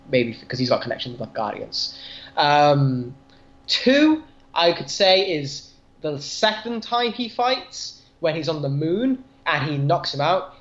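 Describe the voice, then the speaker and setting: A man co-hosting a podcast, casually discussing comic books in a tentative, self-deprecating way. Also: fairly high pitch. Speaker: podcast co-host. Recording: dull lo-fi audio.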